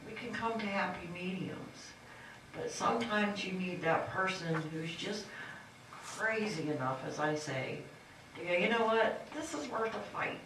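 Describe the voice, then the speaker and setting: A woman's voice: fairly low pitch, measured pace. A woman candidate giving a fiery, folksy campaign speech at a public forum. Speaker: candidate for county supervisor. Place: large echoey meeting hall. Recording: echoey hall from far off, faint hiss.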